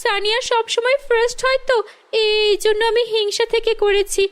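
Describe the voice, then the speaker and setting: A woman voicing a jealous, greedy young girl in a cartoon fairy tale, with quick, excitable, whiny exclamations. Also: extremely high pitch, pitched above the performer's own voice. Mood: pleading. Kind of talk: animated fairy-tale voice acting.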